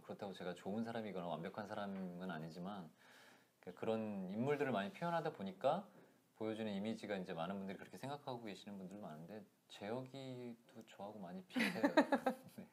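A man in his thirties, a guest in a casual interview, talking softly and thoughtfully with frequent laughs, on a lapel mic in a small indoor room. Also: on the low side, animated. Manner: soft-spoken, laughs often, thoughtful pauses. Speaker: actor guest.

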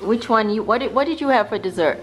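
A woman's voice: mid-range voice, quick pace, poor audio. A middle-aged woman hosting a casual home vlog, playfully stretching and repeating words for emphasis. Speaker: family vlogger, host. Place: home dining room and kitchen. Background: music.

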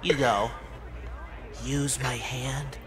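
A man's voice: mid-range voice, fairly even intonation, extremely slow. An actor voicing an awkward, nerdy teenage boy in a visual novel, hesitant and eager to please. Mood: sheepish, confessing.

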